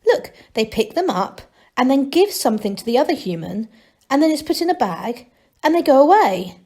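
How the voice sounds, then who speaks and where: Lady doing excited gnome from a kids book, high voice, eager, puzzling things out. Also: moderate pace, exaggerated voice. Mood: wondering. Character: excitable, observant gnome onlooker.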